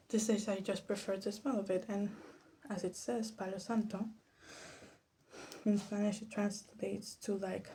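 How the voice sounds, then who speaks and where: A soft-spoken woman giving casual, rambling ASMR commentary, hesitant and drifting into whispers. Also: mid-range voice, vocal fry, a little hiss or hum. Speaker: ASMR creator making perfume. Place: small room at home.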